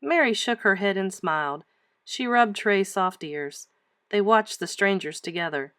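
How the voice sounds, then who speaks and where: Very animated woman reading a storybook. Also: mid-range voice, a little slow, clean tone, no room sound, clean studio sound. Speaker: teacher reading aloud. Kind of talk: expressive children's storybook read-aloud.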